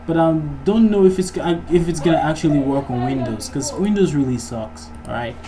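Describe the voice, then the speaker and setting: A man giving a casual programming tutorial, thinking aloud in an informal, halting way as he works. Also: fairly high pitch, music in the background. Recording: noisy mic with keyboard typing. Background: background noise, keyboard typing.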